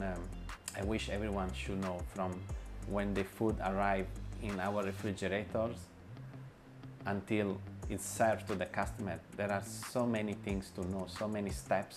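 A man in his forties, a chef and restaurant owner, answering interview questions in a hesitant way, with many fillers, restarts and grammar. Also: deep voice. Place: bright indoor room with light wall. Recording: music bed under voice. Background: background music.

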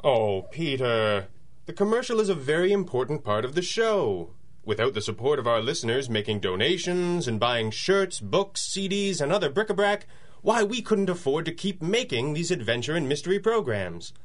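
An actor playing an earnest, patient radio-show star, coaxing and explaining in comic old-time radio style. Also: male voice, fairly high pitch, big pitch swings, normal speed.

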